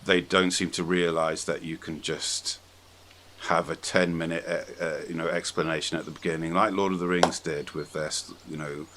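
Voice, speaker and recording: A man hosting a casual podcast discussion about films, giving blunt opinions in halting, hesitant phrases. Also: low-pitched, flat delivery, fairly bright tone, slight background noise. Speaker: podcast host.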